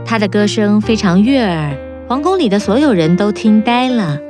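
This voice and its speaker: A woman narrating a children's fairy-tale cartoon in a calm, even storytelling manner. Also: medium pitch, normal speed, music in the background.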